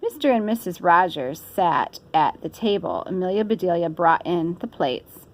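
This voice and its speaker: A woman's voice: lowish voice, sing-song, moderate pace. A woman reading a children's story aloud, playfully, with chatty asides. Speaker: storybook reader. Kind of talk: animated children's story narration.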